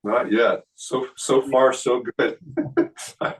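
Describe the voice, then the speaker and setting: A man in his sixties, a kung fu teacher, explaining health practices in a relaxed seminar talk with many fillers and restarts. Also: medium pitch, slow, distant mic, rough recording. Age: 60s. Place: indoor studio room.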